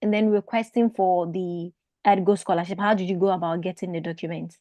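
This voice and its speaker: A woman hosting a live online Q&A, casually prompting her guest with quick questions and fillers. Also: on the low side, steady tone, dark tone, dry recording. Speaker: host.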